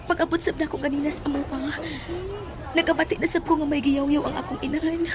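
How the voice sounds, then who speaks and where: A woman's voice: high-pitched, fairly even intonation, quick pace, close to an everyday voice. A woman playing a frightened young woman in a horror audio drama, speaking with a Cebuano accent. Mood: anxious, fearful.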